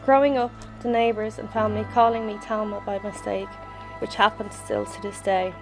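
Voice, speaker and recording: A middle-aged woman with a Dublin accent reads a prepared tribute. Her pitch stays fairly flat and her delivery is measured and solemn, with music behind her. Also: poor audio. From Ireland. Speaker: bereaved sister giving a tribute. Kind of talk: reading a prepared memorial statement.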